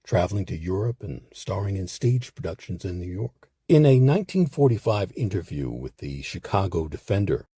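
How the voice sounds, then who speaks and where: A man narrates scripted history in a deep, mellow voice with a heavy rasp. He phrases deliberately and dramatically, pausing between short clauses as a host telling a serious story. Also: big pitch swings.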